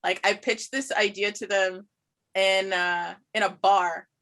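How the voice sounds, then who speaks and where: Bright-voiced woman telling casual stories. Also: medium pitch, measured pace. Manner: animated, informal, quotes self in dialogue. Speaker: podcast guest, writer and show creator. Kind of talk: casual chat, telling anecdotes.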